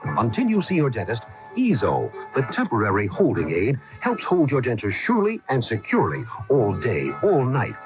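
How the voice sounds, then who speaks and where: A man reading a radio commercial as an emphatic sales pitch. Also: huge pitch swings, normal speed. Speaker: commercial announcer. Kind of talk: radio ad read. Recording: dull lo-fi 1980 radio, music behind.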